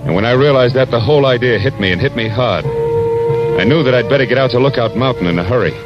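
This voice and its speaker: An actor playing a tough, weary, wry American private detective in 1940s radio style, talking in clipped slang. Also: male voice, mid-range voice, fast talker, lightly acted. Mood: wry narration. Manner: hard-boiled, clipped slang. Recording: dull old radio recording with music.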